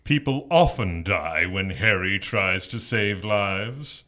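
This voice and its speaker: A sardonic devil in an audio drama. His voice is very low and unhurried, savouring every wicked word with taunting relish. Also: background noise. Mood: gloating.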